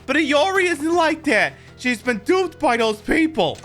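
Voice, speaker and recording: A man acts out a distraught video game mother. The voice is high and swoops with worry, halting and pleading, with faint music behind. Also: sing-song, fairly bright tone, heavily acted. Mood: anxious, pleading. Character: distraught, pleading mother of a college-age daughter.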